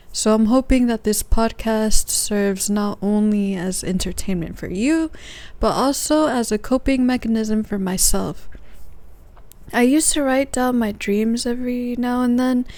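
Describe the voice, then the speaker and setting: Soft-spoken woman podcaster. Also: on the high side. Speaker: podcast host. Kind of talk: casual monologue recounting dreams.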